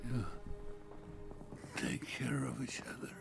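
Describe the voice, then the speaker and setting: Slow, gravelly old father. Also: mid-range voice, level tone, over loud music. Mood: tender, weakened. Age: older. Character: loving, proud old Soviet father. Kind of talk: video game cutscene acting.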